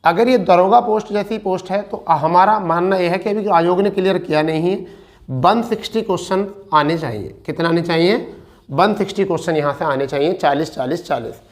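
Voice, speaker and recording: A man's voice: high voice, fairly quick. A man lecturing students as a coaching teacher, asking and answering his own questions and repeating key points.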